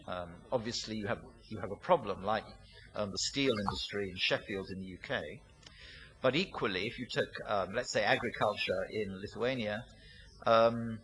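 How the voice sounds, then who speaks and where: A man at a seminar asking a long, thoughtful question, pausing often with fillers. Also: medium pitch, fairly bright tone, low-quality recording. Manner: thoughtful, with frequent pauses and "um"s.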